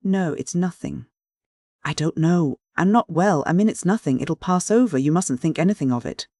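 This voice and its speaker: A man voicing a frightened, tearful young woman, pleading in a high strained voice. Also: animated, normal speed, warm tone, dry recording, clean studio sound.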